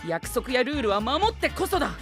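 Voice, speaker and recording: An actor playing a prim, rule-bound young gentleman knight who speaks pompously and tosses in English exclamations, in an anime audio drama. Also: very high-pitched, big pitch swings, fairly quick, faint music behind, heavily acted. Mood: indignant. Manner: pompous, drops English exclamations into formal speech. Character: prim penguin gentleman knight, youthful, fussy about rules.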